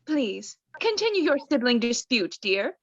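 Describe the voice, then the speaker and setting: A woman acts a doting, aura-minded wife in a high voice, close and dry on a lo-fi recording. She soothes with affected gentleness and can turn prim, as in a comic radio play. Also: big pitch swings, no room sound, heavily acted. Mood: soothing, doting. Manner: affectedly soothing therapy-speak, drawn-out. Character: doting New Age wife, soothing but snippy. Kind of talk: student radio play acting.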